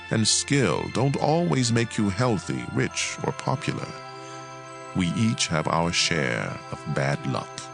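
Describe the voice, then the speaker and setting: A man reading scripture as a dramatized audio Bible narration, in a measured and expressive way. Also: sits low, vocal fry.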